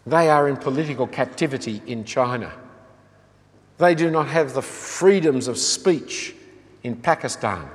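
An older man preaching an earnest sermon, pressing his listeners with rhetorical questions. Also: mid-range voice. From Australia. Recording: long hall reverb.

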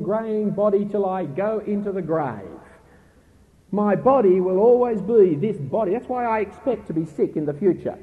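A middle-aged man preaching a sermon in an earnest, emphatic way with a naturally loud voice, asking his listeners pointed questions. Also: high voice. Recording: tinny phone-like recording with faint noise.

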